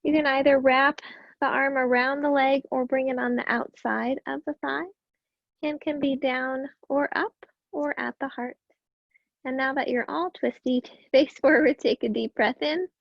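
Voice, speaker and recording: Yoga teacher, female, high-pitched and raspy, gentle breath cues, dry and dull lo-fi sound. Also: unhurried, dry recording. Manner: calm, gentle cueing with breath. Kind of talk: guided yoga class instruction.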